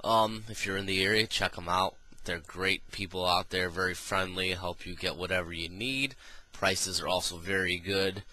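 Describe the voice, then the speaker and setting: A man with a low voice and a bright, forceful edge talks through a product review off the cuff. He sounds nasal and stuffed up from a cold, and he hesitates often with ums and uhs as he goes. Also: steady tone, moderate pace, rough recording. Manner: rambling, full of um and uh fillers.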